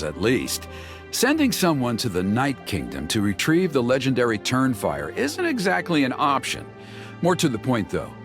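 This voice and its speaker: Earnest, highly animated young tribesman. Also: vocal fry. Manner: explanatory, earnest. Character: earnest young tribesman explaining a sacred ceremony. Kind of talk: video game character voice acting. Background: music.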